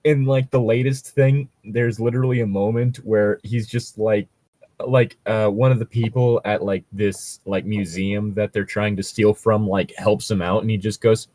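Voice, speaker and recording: A man with a fairly low voice talks in a close, dry recording. He rambles along casually and jokily as a co-host, swearing mildly as he goes. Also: fairly even intonation, moderate pace, dark tone, no echo. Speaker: co-host reading and discussing tabletop gaming stories. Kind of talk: casual chat.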